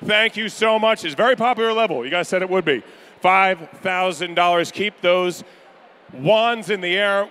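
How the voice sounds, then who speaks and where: A man calling pledges at a charity gala in a banquet room, energetic and upbeat with rapid-fire banter to the crowd. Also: high voice, clear, resonant tone. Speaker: charity auctioneer. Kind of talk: live fundraising pledge calling.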